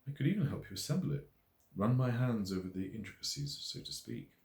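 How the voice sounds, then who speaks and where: A man's voice: mid-range voice, animated, brisk, vocal fry, darkish tone, pitched above the performer's own voice. A man voicing a predatory, flirtatious male neighbour in an audiobook, suggestive and ingratiating. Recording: roomy, echoey voice recording.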